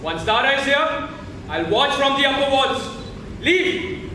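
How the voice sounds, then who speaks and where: A man's voice: very high voice, big pitch swings, unhurried, sharp tone, heavily acted. A man acting a cold, calculating, ambitious prince in a stage play, speaking in a clipped, commanding way. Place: theatre stage, recorded from far off. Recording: distant, echoey, noisy stage recording.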